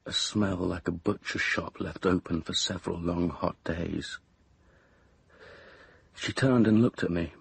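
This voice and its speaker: A man's voice: deep voice, steady tone, measured pace, creaky, long pauses, husky, dry recording, heavily acted. An actor with a British accent playing an uneasy, evasive young man with a hesitant manner in a radio drama. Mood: grave, unnerved.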